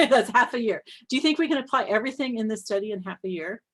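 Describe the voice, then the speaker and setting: A woman's voice: on the high side, expressive, quick pace, fairly bright tone. A woman co-hosting a Bible study discussion, talking casually and candidly. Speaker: Bible study co-host and group leader.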